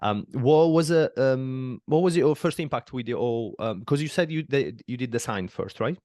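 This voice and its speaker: A man's voice: mid-range voice, moderate pace, darkish tone, no echo. A man from southern Italy, hosting a casual podcast interview and talking with many fillers and restarts. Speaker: podcast host.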